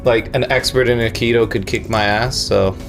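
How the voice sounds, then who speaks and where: A man's voice: mid-range voice, steady tone, moderate pace, creaky, faint music behind. A man from the United States hosting a podcast, chatting casually and jokingly, rambling a little and laughing often. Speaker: podcast host. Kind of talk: casual comedic chat.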